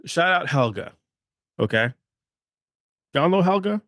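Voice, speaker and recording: A man's voice: on the high side, very animated, unhurried, fairly bright tone, dry recording. A male podcast host delivers a motivational monologue in a slightly raised voice. He talks emphatically, repeats phrases for weight and keeps checking in with "okay?". The recording is dry and close.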